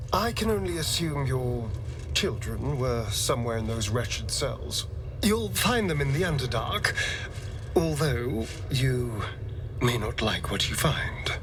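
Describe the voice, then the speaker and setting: Male actor as weary male vampire, vulnerable, halting, theatrical, very animated pitch, slow, game dialogue. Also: lightly acted. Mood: firm, grave. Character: shaken, weary male vampire spawn, newly freed, vulnerable. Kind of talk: video game dramatic acting. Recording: distant, music underneath.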